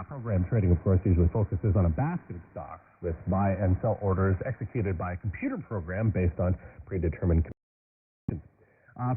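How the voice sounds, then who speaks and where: Animated male radio host over noisy shortwave. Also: lowish voice, phone-quality sound. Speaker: radio news host and interviewer. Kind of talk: news presenting and interviewing.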